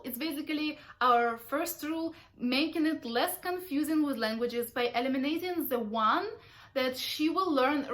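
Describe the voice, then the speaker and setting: Chatty high-voiced woman. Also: fairly bright tone. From Ukraine. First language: Russian. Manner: chatty and explanatory, self-described talkative. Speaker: parenting vlogger. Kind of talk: casual talk to camera.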